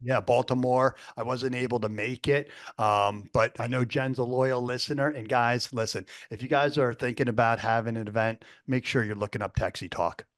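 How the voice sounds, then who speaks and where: A man's voice: medium pitch, steady tone, normal speed, hardly any pauses, no room sound. An enthusiastic man co-hosting a podcast and hyping up his community, with many false starts. His mid-range voice comes across dry and close. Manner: enthusiastic, hype-man, repeats words. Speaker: podcast co-host. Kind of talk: casual podcast chat.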